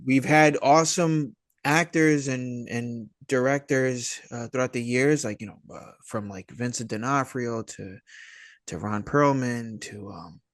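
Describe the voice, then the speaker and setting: A man giving casual interview answers as a guest, rambling and halting in an easygoing, dude-like way. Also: medium pitch, no echo, clean studio sound. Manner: rambling, halting, laid-back dude talk. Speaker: interview guest, indie filmmaker and writer.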